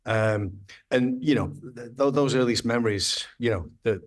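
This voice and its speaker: A man's voice: medium pitch, moderate pace. A man in his thirties, a graphic designer, talking casually in a podcast interview, rambling and restarting his sentences. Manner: rambling, hesitant, with frequent restarts.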